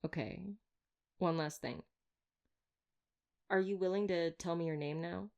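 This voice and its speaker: A woman's voice: lowish voice, unhurried, creaky, darkish tone, dull audio. A woman playing a wry, talkative vampire hunter in an intimate roleplay audio drama, glib and teasing with a caring side. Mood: soft, caring. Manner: glib, flirtatious, sardonic banter.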